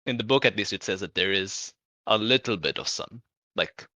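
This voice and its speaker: A man in a casual group discussion, pitching ideas as a tabletop roleplay player. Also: normal speed, sharp tone, dry recording. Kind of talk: casual group worldbuilding chat.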